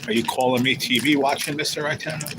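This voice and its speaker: A man's voice: mid-range voice, steady tone, fast, background noise. A man hosting a casual livestream, chatting in a rambling, teasing way as he reads viewer comments. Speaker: livestream host.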